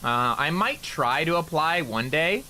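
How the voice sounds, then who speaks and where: A man's voice: on the high side, animated, a little slow, sharp tone, slight background noise. A man streaming while he plays a game, chatting casually and rambling with plenty of "like" fillers. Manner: rambling, loose talk full of "like" fillers. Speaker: VTuber streamer.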